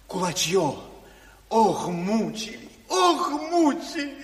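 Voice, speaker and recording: An actor in a Soviet radio play playing a distraught peasant messenger, wailing and halting, out of breath. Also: male voice, high-pitched, very animated, exaggerated voice. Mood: anguished, breathless. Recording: echoing hall, distant from microphone.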